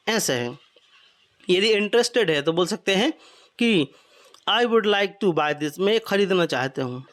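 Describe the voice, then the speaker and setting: A man in his twenties from Madhya Pradesh teaching English phrases, explaining in Hindi and repeating each phrase slowly. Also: sits high, a bit bright. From Madhya Pradesh, India. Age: 20s. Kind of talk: spoken English lesson.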